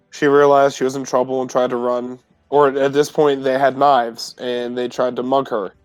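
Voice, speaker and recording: A man's voice: mid-range voice, clear, resonant tone, no echo, lightly acted. A man playing an earnest teenage adventurer in a tabletop game, explaining himself in a hesitant, deferential way.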